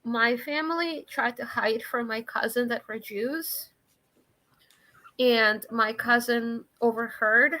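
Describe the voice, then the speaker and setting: A woman's voice: a little high, sharp tone, low-quality recording. A woman who grew up in Russia, hosting a casual group stream and telling a story in a rambling way, repeating her words as she starts.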